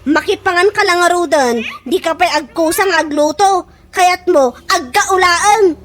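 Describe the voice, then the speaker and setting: A woman's voice: extremely high pitch, unhurried. A woman playing a fed-up, indignant friend in an Ilocano comedy radio drama, speaking emphatically with an Ilocano accent. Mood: furious, scolding. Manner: emphatic, scolding.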